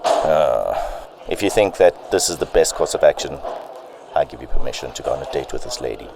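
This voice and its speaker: A man acting a stern, skeptical chief of police in an amateur radio drama, gruff and commanding. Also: rumbling low, animated, fairly quick, noisy background, heavily acted.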